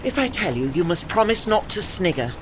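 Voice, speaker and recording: A woman's voice: fairly low pitch, sing-song, brisk, background noise. An actress playing a haughty, dry-witted old lady ship with an arch, formal manner in a period audio drama. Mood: arch, wry.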